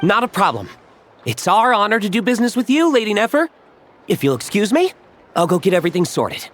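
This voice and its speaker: An eager, courteous guild clerk in a video game, with a high male voice. His delivery is deferential and very animated, over faint music. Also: a little fast. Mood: polite, businesslike. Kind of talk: English video game voice acting.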